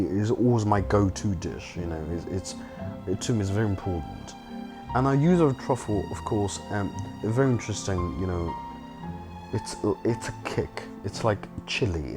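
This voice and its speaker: A young man from China speaks in a deep, low voice, thinking aloud with frequent restarts and fillers. He talks reflectively in a documentary interview. Clear music plays underneath. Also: moderate pace. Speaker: filmmaker and home cook.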